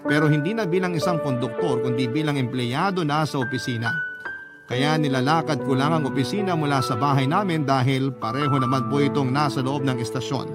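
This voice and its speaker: A man with a Filipino accent reading a letter as scripted, sentimental radio drama narration in a measured storytelling manner. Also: mid-range voice. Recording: loud music bed under narration. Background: loud music.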